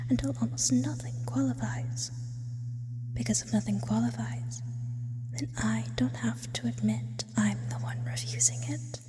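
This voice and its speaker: A lonely sleep paralysis demon, acted by a woman, speaks in slow whispers drenched in long reverb. The delivery is intimate and deliberate, like a brooding confession to one listener in an ASMR roleplay, tender beneath the gloom. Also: fairly high pitch, mellow. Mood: wistful, confessional.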